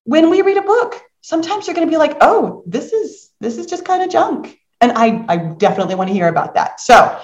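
A woman hosting a casual live book discussion, talking in an animated, conversational way and inviting the group to join in. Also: high voice, a little fast, few pauses, lo-fi sound. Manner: animated, conversational, encouraging audience participation. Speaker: book club host. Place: indoor room on a group video call. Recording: roomy echo.

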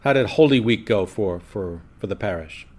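A man's voice: fairly low pitch, expressive, moderate pace, a little mellow, breathy edge. A man hosts a conversational podcast interview as a seminary rector.

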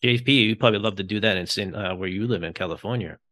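A man's voice: fairly low pitch, quick pace, fairly bright tone. An American man hosting a podcast in casual chat, with rambling, stumbling phrasing as he puts his questions together. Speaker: podcast host.

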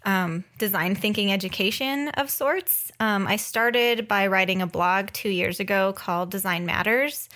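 A woman's voice: medium pitch, fairly bright tone. A woman in her thirties speaking as a podcast co-host, chatting casually and informally about herself with a joking, self-deprecating streak. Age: 30s. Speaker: podcast co-host and graphic designer. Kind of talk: casual chat introducing herself.

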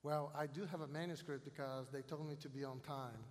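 A man with a South American accent, a non-native English speaker, preaching as a guest missionary in a halting way, repeating phrases for emphasis. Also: a little high, fairly even intonation. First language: not English. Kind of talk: sermon. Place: large hall or church, speaking to an audience. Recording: long hall reverb over PA system.

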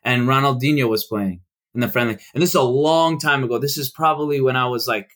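A young man from Chicago, a podcast guest, telling stories in a casual, halting way with many restarts and fillers. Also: mid-range voice, fairly bright tone. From United States.

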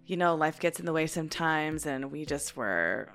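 A bubbly woman co-hosting a history podcast, talking in a fairly low voice for a woman. She chats loosely and giggles often, with faint music behind her. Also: expressive, moderate pace, a bit bright, clean tone. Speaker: podcast co-host. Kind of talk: casual history podcast chat.

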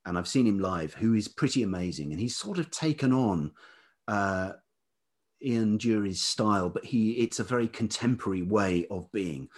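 A man's voice: on the low side, darkish tone. A middle-aged man with a velvety voice telling anecdotes as an interview guest, hesitantly piecing his thoughts together. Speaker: podcast guest, writer and producer. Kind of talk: reflective anecdotal interview talk.

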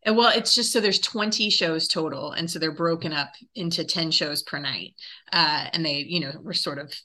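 A woman's voice: low voice, normal speed, pushed and bright. A woman who works as a theatre director, chatting casually as an interview guest.

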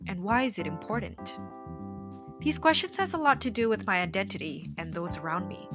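A woman from Indonesia hosting a podcast, reading a measured, reflective introduction and then chatting casually with a guest. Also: mid-range voice, lively intonation, music in the background. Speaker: podcast host.

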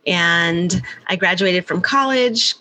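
Woman interview guest, bright and clear tone, rambly conversational delivery with many fillers. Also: fairly low pitch, slow, low-quality recording. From born in Canada, raised in California. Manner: chatty, rambling with frequent fillers.

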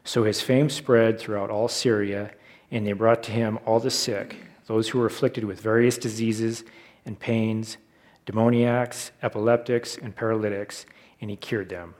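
A man's voice: lowish voice, flat delivery, moderate pace, darkish tone. A man reading scripture aloud as narration. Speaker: volunteer scripture reader.

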